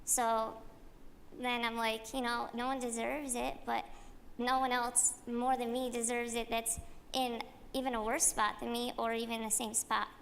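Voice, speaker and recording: Creaky, even-toned woman, casual and halting. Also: a little high. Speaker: audience member sharing experience. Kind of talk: informal personal comment. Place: large conference hall, audience floor. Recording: hall reverb, faint background noise.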